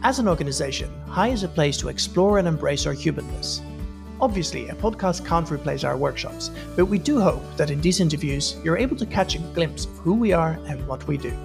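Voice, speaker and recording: Gravelly cohost with dry jokes, music under the voice. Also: medium pitch, lively intonation, fairly quick. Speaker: podcast co-host. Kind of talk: podcast hosting. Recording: music playing behind.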